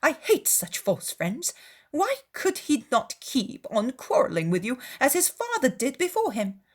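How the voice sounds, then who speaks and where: A woman's voice: high-pitched, big pitch swings, measured pace, darkish tone, voice pushed up, full character voice. Woman acting a querulous, indignant middle-aged mother. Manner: emphatic, complaining.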